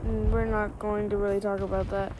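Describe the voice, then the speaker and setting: A woman giving a rambling gameplay commentary voice-over, slightly hoarse from a winter cold and full of fillers and restarts. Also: mid-range voice, flat delivery, fairly quick, background noise. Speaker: gameplay video creator.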